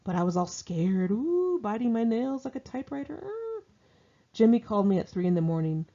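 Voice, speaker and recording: A woman's voice: mid-range voice, big pitch swings, brisk, warm tone. A woman reading her own memoir and diary entries aloud as informal audiobook narration, in a wry, sardonic, conversational way, on a dull lo-fi home recording.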